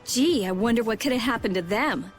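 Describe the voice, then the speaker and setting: A woman's voice: on the high side, expressive, brisk, faint music behind, natural voice. Sardonic, skeptical young woman played by an actress. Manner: sarcastic. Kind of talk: video game dub acting.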